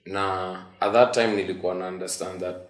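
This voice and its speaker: A Kenyan man in his forties, a musician guest telling stories in a relaxed way, switching between English and Swahili slang. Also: low voice, brisk. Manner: relaxed storytelling, code-switching. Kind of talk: casual interview in Sheng and English. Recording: roomy echo.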